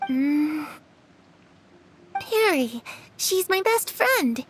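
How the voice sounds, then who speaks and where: A woman voicing an eerie, lonely little orphan girl in an anime game, soft and hesitant. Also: high voice, very animated, extremely slow, exaggerated voice. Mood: wary, somber.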